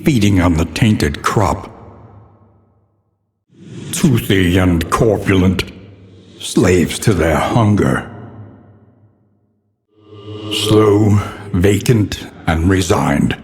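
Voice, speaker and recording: Slow, deep, ominous gothic narrator. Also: male voice, soft background music, heavily acted. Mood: grim, disdainful. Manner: solemn, portentous, deliberate diction. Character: grave, ominous male narrator of a gothic horror world. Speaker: game narrator. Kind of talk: theatrical scripted narration. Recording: long cavernous reverb.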